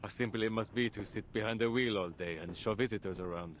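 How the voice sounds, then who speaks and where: An actor playing a weary, exasperated police officer in a game, formal and dryly sardonic. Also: male voice, lowish voice, quick pace, fairly bright tone, a little hiss or hum, natural voice. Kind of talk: dramatic game voice acting. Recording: music behind the voice. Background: music.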